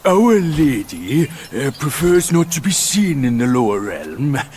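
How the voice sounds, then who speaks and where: A man's voice: mid-range voice, very animated, a little slow, a little mellow, a little hiss or hum. An actor voicing a boastful, lewd pig-man demon in a video game, in a theatrical, sly manner. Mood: sly, obsequious. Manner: theatrical, sly chuckling delivery with sudden outbursts. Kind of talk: video game cutscene acting.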